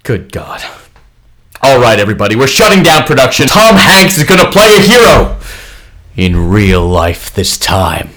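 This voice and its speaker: A man's voice: mid-range voice, big pitch swings, moderate pace, sharp tone. A man acting an exasperated grown movie star in a comedy sketch. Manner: exasperated, dismissive.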